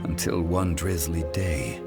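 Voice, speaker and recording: A man playing an ancient, composed god in mortal form in a video game, speaking in a formal, measured and solemn manner over music. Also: low voice. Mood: reflective, solemn. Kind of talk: video game character acting.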